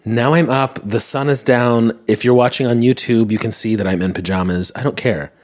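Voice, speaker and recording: A man's voice: mid-range voice, normal speed, a little mellow. Tired, slightly smoky-voiced man chatting. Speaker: podcast host. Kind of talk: casual solo chat. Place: at home, indoors.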